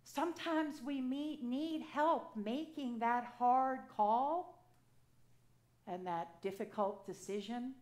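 Storytelling woman priest in echoey church. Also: on the high side. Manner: conversational, personal storytelling with gestures. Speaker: assisting priest. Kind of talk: sermon. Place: church sanctuary, at a pulpit. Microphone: gooseneck pulpit mic.

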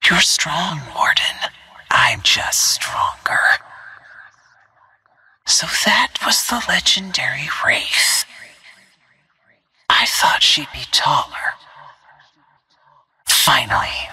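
An actress voicing a cold, menacing female assassin in a video game, with a breathy, husky, hushed delivery and controlled threat. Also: very deep voice, exaggerated voice. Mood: cold, defiant, then quietly menacing. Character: cold, menacing female assassin who stalks her targets. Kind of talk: scripted video game character barks.

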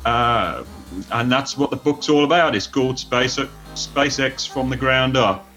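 A man's voice: medium pitch, a little slow, a bit bright, soft background music. A British man from the United Kingdom, an author speaking as a podcast panelist, talking casually with frequent hesitations. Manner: hesitant, lots of "uh" fillers.

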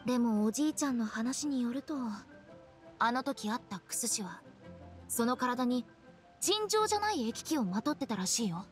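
A shrewd, playful young woman acted by a woman from an anime game. Her voice is high and swings freely in pitch, casual and teasing, with music underneath. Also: expressive, normal speed, close to an everyday voice. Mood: explaining, suspicious. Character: playful, shrewd young female funeral parlor director. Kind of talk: Japanese anime game acting.

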